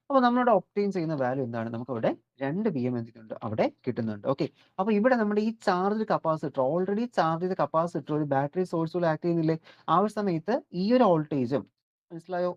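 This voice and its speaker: Malayali teacher doing an electronics lecture, talks quick, asks questions then answers them, muffled lo-fi audio. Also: medium pitch, darkish tone. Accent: Malayali (Kerala). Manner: mixes in English technical terms. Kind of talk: explanatory lecture worked step by step. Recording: dull, lo-fi audio.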